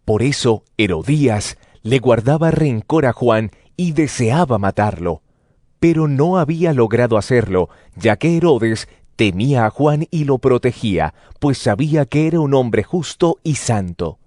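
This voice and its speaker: A man narrating scripture as a dramatized story, with expressive storytelling delivery. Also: mid-range voice, measured pace, dry recording, studio quality. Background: music.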